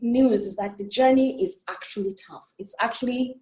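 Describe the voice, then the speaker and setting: A woman's voice: on the high side, moderate pace, warm tone, echoey room. A woman from Burundi giving a passionate conference keynote, earnest and repeating phrases for emphasis. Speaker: keynote speaker. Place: on a remote call.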